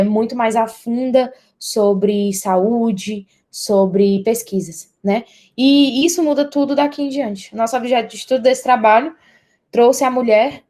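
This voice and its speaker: Young Brazilian-accented woman, mid-pitched, student presenter delivering an academic project talk. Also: warm tone. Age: young adult.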